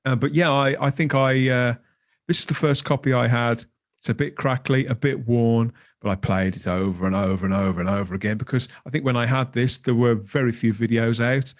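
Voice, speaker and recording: A middle-aged man with a medium-pitched voice talks casually as a guest. He rambles enthusiastically, circling back and reminiscing in an informal way. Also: steady tone, a little fast. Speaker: podcast guest, graphic designer. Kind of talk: casual chat.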